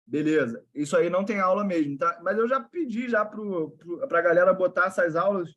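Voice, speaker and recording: A man's voice: high voice, animated, normal speed, fairly bright tone, dull audio. A man with a Carioca accent hosting a live video call with investment students, talking in a brotherly, slangy, encouraging way. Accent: Carioca Portuguese.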